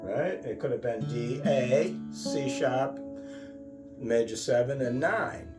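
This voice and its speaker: A man giving an informal guitar lesson, thinking aloud in a musing, halting way and often checking in with a questioning tag. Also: medium pitch. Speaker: guitar teacher. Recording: roomy, distant mic, loud guitar. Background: acoustic or electric guitar playing.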